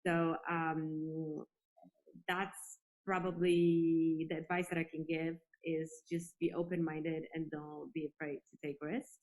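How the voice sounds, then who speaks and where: A woman's voice: deep voice, level tone, slow pace, a little mellow, rough recording. A woman from Poland giving earnest, reflective answers as a guest in a conversational interview. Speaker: interview guest, space industry executive.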